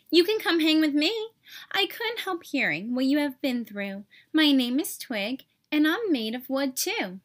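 A woman's voice: high-pitched, a bit bright. A woman voicing a kind, upbeat female twig who talks in an encouraging, emphatic way.

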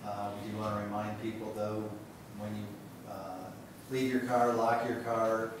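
Low-voiced man, halting, in an echoey chamber. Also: flat delivery. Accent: Ohio. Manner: halting, many "uh" fillers, matter-of-fact. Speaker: city official giving council updates. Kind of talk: public meeting remarks. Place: large council chamber. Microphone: desk microphone heard from a distance. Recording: very echoey chamber, distant mic, background hiss.

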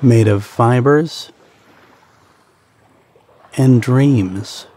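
Guy doing guided meditation, really slow and soft-edged mellow voice, soothing, leaves gaps between phrases. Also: mid-range voice, breathy edge. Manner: soothing, deliberate, with short pauses between phrases.

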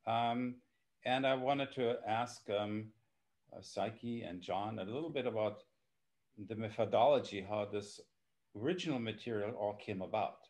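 An older man moderates an informal academic chat by video call. His voice sits a little on the low side. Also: unhurried, a little mellow. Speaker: professor and moderator.

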